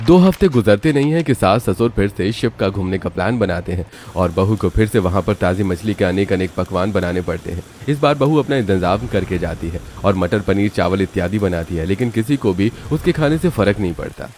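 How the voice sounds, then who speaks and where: Male narrator for animated family tales, low register, scripted storytelling delivery. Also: brisk, few pauses, a little hiss or hum.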